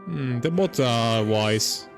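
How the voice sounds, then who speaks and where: Casual male game streamer, mid-pitched. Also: expressive, slow, gravelly, music in the background. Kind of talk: casual gameplay commentary.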